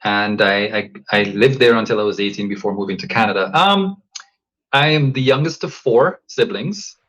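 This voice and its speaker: A man from Guyana, a coach and father, talking reflectively in a podcast interview, measured and thoughtful with many fillers and restarts. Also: on the low side, a bit bright, poor audio.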